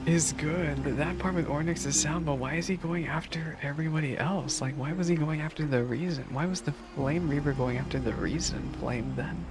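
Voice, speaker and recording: Casting: female game streamer, quite low voice, casual amused reactions, thinking out loud, game music audible. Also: brisk, few pauses. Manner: musing aloud, amused.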